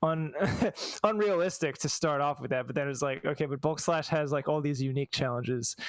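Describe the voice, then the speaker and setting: A man with a fairly high voice speaks casually as an interview guest. He is thoughtful and halting, feeling his way through each point. The sound is dry, with no room around it. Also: no echo. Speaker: guest, fan translator.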